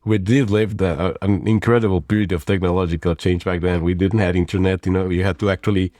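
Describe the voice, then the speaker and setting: Podcast guest: forties, from Mexico, low deep voice, reflective and loose, dry close mic. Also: male voice, fairly even intonation, fast talker, a little mellow, no echo. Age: 40s. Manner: thoughtful, rambling with frequent restarts and fillers. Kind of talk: conversational interview.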